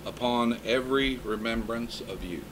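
A man in his fifties delivers a sermon at a middle pitch, moving between scripture and stories from his own life. He talks to his congregation in an easy, conversational way, with a faint hum of noise behind him. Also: normal speed, a bit bright, rough recording. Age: 50s. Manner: conversational preaching with personal stories. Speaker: preacher.

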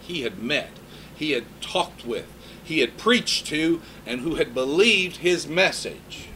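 A man's voice: on the high side, animated, slow, sharp tone, a little hiss or hum, low-quality recording. A man in his fifties preaching a sermon in a conversational way, mixing scripture with personal stories. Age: 50s.

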